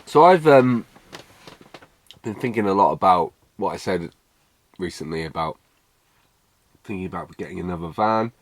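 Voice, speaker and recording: A hoarse man with a raspy voice from a cold, chatting casually to camera in a relaxed, rambling way from his camper van. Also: sits low, normal speed, long pauses, no room sound. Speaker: van life vlogger. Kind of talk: casual vlog chat to camera. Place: inside a camper van at a campsite.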